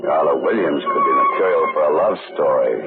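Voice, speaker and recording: A man's voice: extremely low pitch, huge pitch swings, fairly quick, heavily acted. A man playing a wry, cool, chivalrous writer out for adventure in a 1940s-style radio drama. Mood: wry, guarded. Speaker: lead actor and narrator. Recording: muffled, narrow-band old radio with music.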